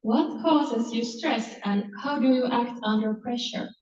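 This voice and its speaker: A woman's voice: fairly high pitch, unhurried, a little mellow. A woman, moderating a panel and reading out questions to candidates. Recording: dull, echoey room, distant mic.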